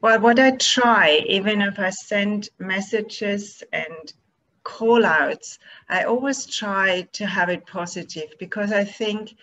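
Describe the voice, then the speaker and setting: A woman with a medium-pitched voice answers questions as a guest artist. She talks casually over a video call. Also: takes it slowly, a bit bright, muffled recording.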